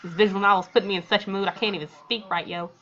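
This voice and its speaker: Woman, very bright voice, slightly dull lo-fi recording, casual gaming host, slangy, reads story text aloud. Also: medium pitch, fairly even intonation, very fast. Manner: slangy, candid interjections. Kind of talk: casual let's play commentary and read-aloud narration of a visual novel.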